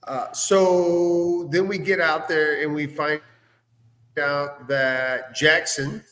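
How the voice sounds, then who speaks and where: A man's voice: on the high side, flat delivery, slow, a bit bright. A man with a folksy, informal way of talking, hosting a casual recap chat about a series. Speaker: host discussing own audio drama series.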